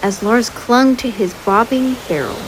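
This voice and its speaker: A woman's voice: fairly high pitch, unhurried. Woman reading a children's storybook, noisy background. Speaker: storybook reader. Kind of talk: expressive read-aloud narration for children.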